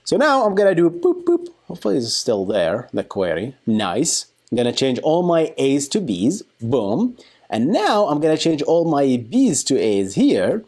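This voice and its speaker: A man giving an informal software tutorial, talking through a live demo in a playful, colloquial way and thinking aloud. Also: a little high, huge pitch swings, a little slow. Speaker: software engineering instructor.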